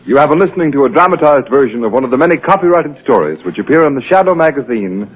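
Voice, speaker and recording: A man playing a brisk announcer reading a scripted 1930s radio closing. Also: medium pitch, lively intonation, husky. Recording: dull 1930s radio recording with organ.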